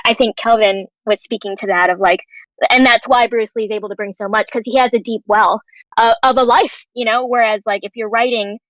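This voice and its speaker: A woman's voice: a little high, no room sound. A woman chatting casually as a panelist, informal and full of filler words, on slightly muffled audio. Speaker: panelist, television writer. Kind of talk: casual chat.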